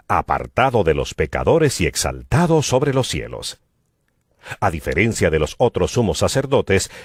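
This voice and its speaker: A man's voice: low-pitched, slightly rough, no echo, studio quality. A man narrating dramatized scripture in an emphatic, declamatory manner. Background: music.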